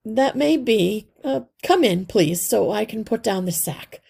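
A woman's voice: mid-range voice, animated, a little slow, gravelly, warm tone, breathy edge, exaggerated voice. A woman voicing a very old, wise male mouse healer with a kindly, authoritative manner for a children's storybook. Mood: kindly, concerned. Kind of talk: children's storybook read-aloud.

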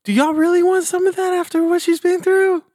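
A man's voice: extremely high pitch, little pitch movement, brisk, darkish tone, dry recording. A young man co-hosting a casual podcast, arguing his point at length. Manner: argumentative, talks things through at length. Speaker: podcast co-host.

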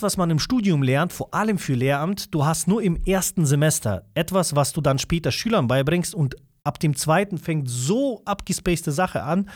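A young man whose first language is Russian, chatting as an interview guest over a video call. His voice sits a little high and sounds dry and close, with no room echo. He rambles with plenty of fillers and pokes fun at himself. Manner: rambling, self-deprecating, many fillers. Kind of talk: casual interview chat.

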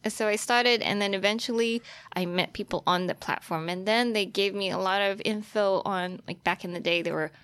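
A woman's voice: mid-range voice, moderate pace, a bit bright, smooth. A young adult woman, chatting casually as a guest in an interview. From Australia, born in Taiwan. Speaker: podcast guest, fashion influencer.

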